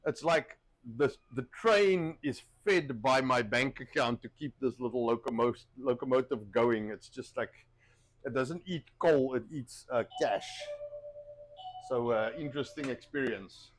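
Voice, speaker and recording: A man's voice: mid-range voice, a little slow, low-quality recording. A man hosting a casual cooking livestream, rambling and repeating himself while getting over a cough with a wheezy chest. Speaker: host of a cooking show.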